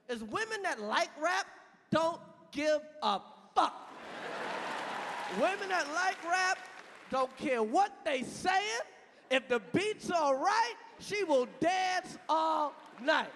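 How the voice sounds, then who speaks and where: A man in his thirties doing stand-up on a big stage, his voice high and gravelly as he shouts punchlines into a handheld mic. The sound is a live hall recording with a long reverb tail, and a loud crowd and noise sit behind him. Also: big pitch swings, slow pace. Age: 30s. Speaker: stand-up comedian. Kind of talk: stand-up comedy set. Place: large venue on stage.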